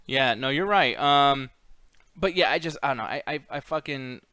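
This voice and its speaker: Male co-host, smooth higher voice, blunt opinionated chat with heavy swearing, close dry recording. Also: animated, moderate pace, pushed and bright, no echo. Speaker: co-host of a video game movie chat show.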